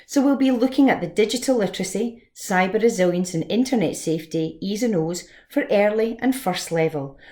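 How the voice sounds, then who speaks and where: A woman's voice: medium pitch, a little fast, hardly any pauses, a little mellow. A woman giving a scripted educational presentation as a lesson presenter. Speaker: teacher presenting a read-along lesson.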